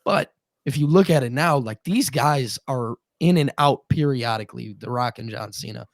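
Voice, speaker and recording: A man in his mid-twenties co-hosts a wrestling talk stream at a medium pitch. He talks animatedly, swears freely and often doubles up his words. The recording is dry and close. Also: moderate pace, dry recording. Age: 20s. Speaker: co-host. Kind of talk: casual live-stream chat.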